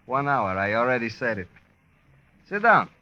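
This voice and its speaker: Sly Spanish-accented go-between, forceful, old radio. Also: male voice, medium pitch, big pitch swings, moderate pace. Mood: mocking. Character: sly, taunting Cuban go-between, mockingly courteous. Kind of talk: 1950s radio crime drama acting. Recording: muffled old radio recording.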